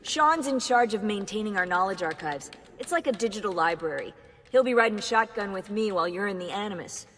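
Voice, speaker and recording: An actress playing a friendly, enthusiastic tech expert in a video game, speaking informally. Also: female voice, medium pitch, animated, a little fast, fairly bright tone, a little hiss or hum, natural voice. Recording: long reverb, music behind.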